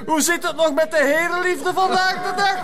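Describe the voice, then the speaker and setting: An actor playing a smug middle-aged lawyer, pompous and jeering, with a Flemish accent in a drama. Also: male voice, extremely high pitch, fairly even intonation, normal speed, a loud crowd. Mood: gloating, mocking.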